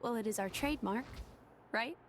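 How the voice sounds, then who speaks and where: A woman's voice: fairly high pitch, moderate pace, a little hiss or hum, lightly acted. A woman voicing a polite, reserved, mildly put-upon young woman in a video game cutscene. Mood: modest. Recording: faint game music behind voice.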